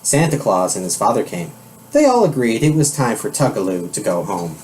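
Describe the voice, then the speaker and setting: Man reading a children's storybook, lively intonation. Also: fairly low pitch, normal speed, a little hiss or hum. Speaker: storybook reader. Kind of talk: children's storybook read-aloud.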